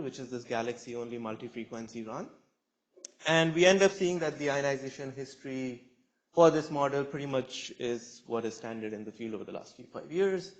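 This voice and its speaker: A man's voice: mid-range voice, low-quality recording. A man in his twenties, a student researcher presenting a scientific talk at a conference in a lecture room. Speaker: PhD student speaker.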